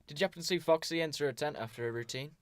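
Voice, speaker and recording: A man's voice: a little high, rapid-fire, dry recording, natural voice. A man playing a brusque, suspicious police inspector in an amateur radio drama, businesslike and probing. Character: brusque, suspicious male police inspector questioning circus performers. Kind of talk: amateur 1920s-set radio drama acting.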